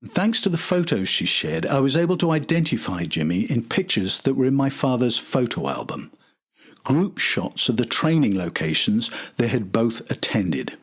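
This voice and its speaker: An older man with a slightly husky voice, reading scripted documentary narration as a podcast host. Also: medium pitch, sing-song, moderate pace.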